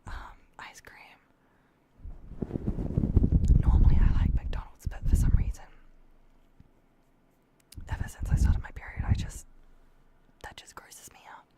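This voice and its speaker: A young adult woman chatting casually to livestream viewers in a hushed, breathy whisper with creaky edges, keeping her voice down. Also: unhurried, pauses often, rough recording. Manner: keeping voice down late at night, swearing freely.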